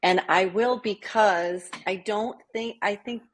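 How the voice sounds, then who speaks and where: Husky woman, chatty business coach. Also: mid-range voice, slow pace. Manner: chatty, keeps asking "right?". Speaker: small business coach, guest. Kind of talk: podcast interview.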